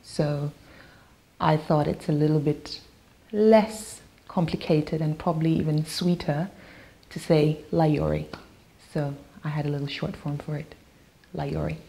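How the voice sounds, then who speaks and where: A woman whose first language is Yoruba, a singer-songwriter talking thoughtfully in an interview, pausing with fillers and restarts. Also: sits low, steady tone, gravelly, warm tone. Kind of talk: interview talk.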